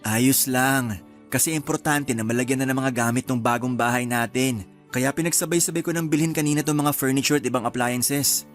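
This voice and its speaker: Medium male pitch, loving young husband played by an actor, easygoing, radio drama, soft music behind. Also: a little fast, lightly acted. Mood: pleased, easygoing. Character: hardworking, loving young husband settling into home. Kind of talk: Filipino radio drama dialogue.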